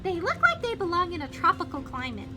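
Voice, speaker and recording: A woman with a very high voice chats through a live game stream with music behind her. Her pitch swings widely as she thinks aloud, playful and quick to exclaim. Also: huge pitch swings. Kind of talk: casual gameplay commentary, chatting to viewers.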